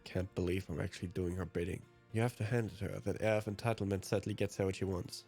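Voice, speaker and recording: A man's voice: sits low, steady tone, quick pace, a little mellow. A man, a let's player reading visual novel narration aloud. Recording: music behind the voice.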